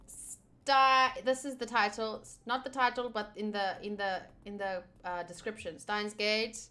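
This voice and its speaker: A woman giving excitable, giggly commentary on a visual novel playthrough while reading the text aloud. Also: medium pitch, measured pace. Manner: excitable, giggly, animated reactions. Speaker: streamer playing through a visual novel. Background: game music.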